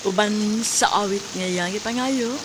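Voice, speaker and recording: A woman's voice: medium pitch, moderate pace. A woman announcing a song dedication on a radio programme. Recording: very loud rain-like background noise.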